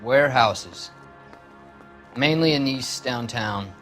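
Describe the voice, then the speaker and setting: An actor playing a surly, cornered small-time car thief with an American accent in a crime drama game, slangy and defensive. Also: male voice, medium pitch, slow, a bit bright, soft background music.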